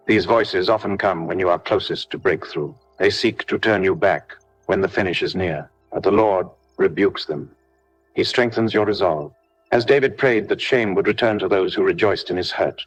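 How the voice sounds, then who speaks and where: A man narrating a scripted devotional prayer, speaking solemnly in measured declarations straight to the listener. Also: low-pitched, no echo, poor audio. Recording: background music under the voice. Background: music.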